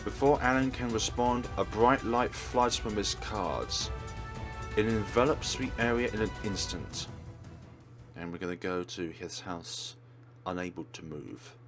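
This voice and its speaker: A man's voice: lowish voice, unhurried. A man narrating a let's play, reading a visual novel aloud as dramatic storytelling. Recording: game music behind voice.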